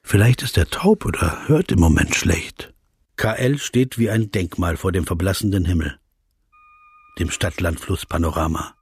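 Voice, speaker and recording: A man's voice: deep voice, moderate pace, husky, no room sound, exaggerated voice. An actor playing a deferential, anxious interviewer in a radio drama, narrating his thoughts hesitantly and politely. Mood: brooding. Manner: hesitant, polite, drops into hushed asides.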